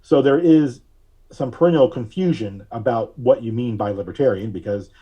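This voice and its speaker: Mellow, deliberate man explaining things. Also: mid-range voice, slightly rough, muffled recording. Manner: measured, deliberate, precise wording. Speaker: podcast guest. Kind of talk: explanatory interview talk.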